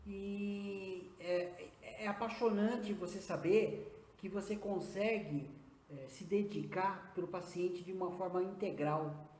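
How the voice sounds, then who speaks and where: A man in his fifties with a Brazilian accent, a nurse and acupuncturist answering interview questions earnestly, often pausing on hesitant fillers. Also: high voice, a little mellow, slight background noise. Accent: Brazilian Portuguese. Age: 50s. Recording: roomy echo.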